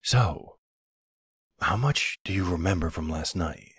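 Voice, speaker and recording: A man playing a gentle, worried boyfriend in an intimate roleplay audio drama, soothing and reassuring with a hesitant delivery. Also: unhurried, no room sound, heavily acted. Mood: caring, reassuring. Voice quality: low, husky voice.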